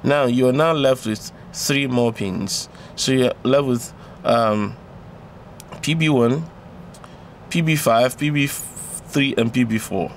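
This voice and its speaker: Guy with a creaky voice walking thru a tech tutorial, repeats himself, bit of background noise. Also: medium pitch. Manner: step-by-step, repeats phrases for emphasis. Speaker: electronics tutor. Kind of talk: informal explanatory tutorial, talking through a diagram.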